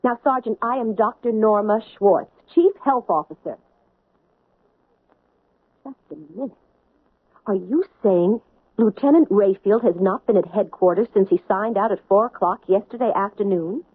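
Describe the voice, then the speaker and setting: An actress playing a brisk chief health officer, speaking in an urgent, clipped, authoritative way. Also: female voice, medium pitch, dry recording, natural voice. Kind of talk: 1970s radio drama acting. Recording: muffled old radio broadcast.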